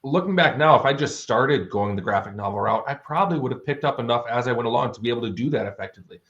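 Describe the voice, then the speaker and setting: A man's voice: medium pitch, lively intonation, fast, a bit bright. A man chatting casually as an interview guest, telling anecdotes in a conversational way. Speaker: guest author and audio drama producer. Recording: dull, lo-fi audio.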